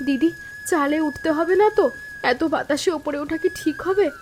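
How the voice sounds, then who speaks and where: A woman playing a timid, earnest young sister-in-law in an animated story, with an anxious, pleading and deferential manner. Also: high-pitched, normal speed, faint music behind, close to an everyday voice. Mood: frightened.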